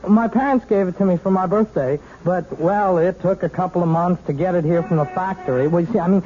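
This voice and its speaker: High-pitched, bashful young man. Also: fairly quick, husky. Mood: awkward, bashful. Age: young adult. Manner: hesitant, bashful. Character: awkward, polite young neighbourhood man with new car. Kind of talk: 1970s radio drama acting. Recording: tinny, hissy old radio recording.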